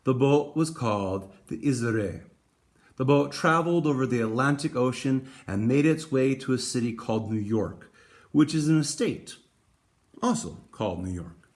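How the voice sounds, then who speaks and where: A man hosting a read-aloud for schoolchildren, speaking in a deliberate storytelling way to young listeners. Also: mid-range voice, animated, a little mellow. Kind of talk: expressive children's picture book read-aloud.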